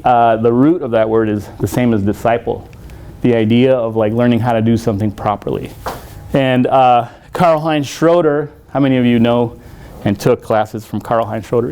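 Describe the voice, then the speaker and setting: A man in his forties from El Salvador, giving a self-deprecating conference talk in a university hall, joking often and pausing on many fillers. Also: mid-range voice, dark tone. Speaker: conference speaker.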